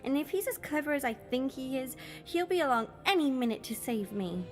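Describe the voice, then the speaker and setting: High-voiced, plucky young captive played by a woman. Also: animated, brisk, soft background music. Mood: wary defiance. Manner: questioning, plucky, sceptical. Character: feisty, wary young human female captive. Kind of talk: audio drama acting.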